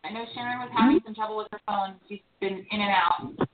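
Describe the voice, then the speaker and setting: A woman's voice: medium pitch, fairly even intonation. A woman hosting a casual recap show, chatting and teasing callers. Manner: chatty, teasing, quick asides. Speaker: host. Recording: echoey, tinny phone line with faint noise.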